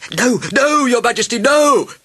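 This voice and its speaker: Guy doing a fussy royal doctor from a storybook, high shouty panic, kinda raspy. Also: expressive, a little slow, exaggerated voice. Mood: panicked shouting.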